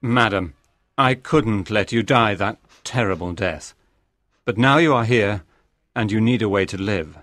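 An actor playing a reserved, honourable Victorian gentleman, restrained, formal and sincere, in an audiobook. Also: on the low side, unhurried, no room sound. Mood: resigned.

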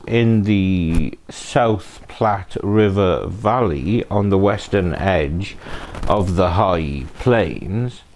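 Slow, deep-voiced male narrator with lively swings. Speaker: true crime show host. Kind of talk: scripted narration. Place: living room sofa, indoors.

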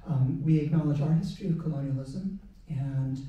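A man's voice: a little high, normal speed, recorded from a distance, muffled recording. A man moderating an art panel on a museum stage, speaking casually with many ums into a handheld mic. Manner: relaxed, many ums and uhs. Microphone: handheld mic, far camera. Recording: echoey hall, heard from afar.

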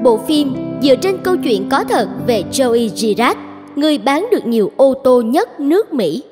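A woman's voice: sits high, very animated, moderate pace, a little mellow. A woman narrator reading a scripted introduction. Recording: long reverb with music.

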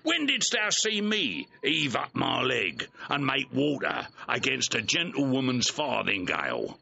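An aggrieved clown of a servant in classical audio comedy. His voice is piercingly bright and leaps wildly up and down as he rambles theatrically. The restored old recording is a little dull. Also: mid-range voice, very animated, measured pace, gravelly, full character voice. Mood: indignant, reproachful. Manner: rambling, theatrical, conversational soliloquy with mimicked voices. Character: comic male clownish servant scolding his dog, aggrieved, earthy.

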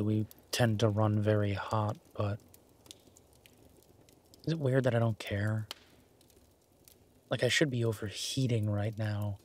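Male actor as affectionate werewolf boyfriend, roleplay audio. Husky and breathy, unhurried, gentle, halting delivery, easygoing and content. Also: lowish voice. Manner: gentle, halting, murmured with long pauses. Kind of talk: intimate roleplay audio, slow soft conversational acting.

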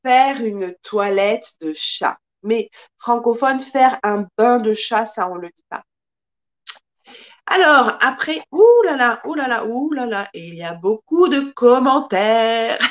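A woman in her thirties teaches French live from a small room, recorded on a desk webcam. Her voice sits high. She speaks very slowly and expressively, drawing out each phrase for her learners. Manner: slow, careful, expressive teacher with lots of hand gestures. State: occasional coughing from pollen allergies. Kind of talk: live-streamed language lesson.

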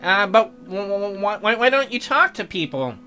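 A man's voice: high voice, very animated, moderate pace, pushed and bright, faint music behind, pitched above the performer's own voice, full character voice. An American man voicing an awkward, curious teenage schoolboy who talks hesitantly and stammers in a game.

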